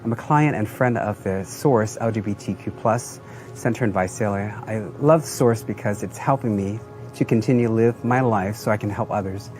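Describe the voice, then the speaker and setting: Lower-voiced man telling his life story. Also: moderate pace, darkish tone. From Southeast Asia and the United States. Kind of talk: personal testimonial narration. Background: music.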